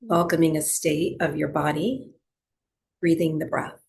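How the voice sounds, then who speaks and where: Female meditation guide: very unhurried, gravelly edge, soft soothing instruction for breathwork and rest. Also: low-pitched. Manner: soothing, gentle, unhurried instruction with long pauses. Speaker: yoga and breathwork teacher.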